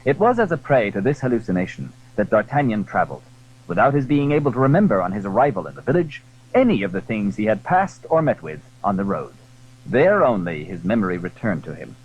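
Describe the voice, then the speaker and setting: A man narrating a literary adventure story in a measured, scripted storytelling style. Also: mid-range voice, breathy edge. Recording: dull lo-fi 1980s audiobook.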